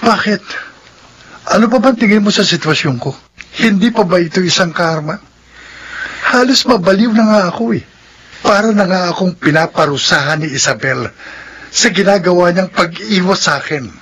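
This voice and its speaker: A man's voice: high voice, moderate pace, fairly bright tone, breathy edge, heavily acted. A man playing an earnest, anguished suitor in a drama. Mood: pleading, desperate. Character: lovesick, impatient male suitor, earnest and anguished. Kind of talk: radio drama dialogue.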